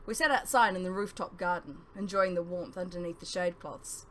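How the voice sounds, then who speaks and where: A woman reading a first-person novel aloud as scripted narration, with emphatic phrasing and dramatic pauses. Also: lowish voice, fairly bright tone, slight background noise.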